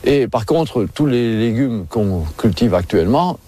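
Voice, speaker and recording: A man's voice: medium pitch, animated, a little slow, mellow, slight background noise. A man with a French accent from France, a horticulturist reflecting informally in a report clip. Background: electronic music.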